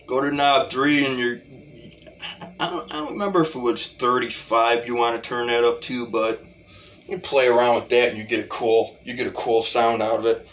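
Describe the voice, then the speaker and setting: A man's voice: medium pitch, fairly even intonation, normal speed, fairly bright tone, slight background noise. A man giving a casual, rambling demo as a hobby musician, often restarting his phrases. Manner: rambling, repeats starts of phrases. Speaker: hobbyist talk box musician.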